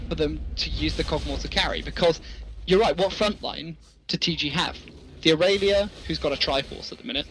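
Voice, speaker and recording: A man's voice: on the high side, sharp tone, rough recording. A man giving live esports commentary, talking through the play conversationally and posing rhetorical questions.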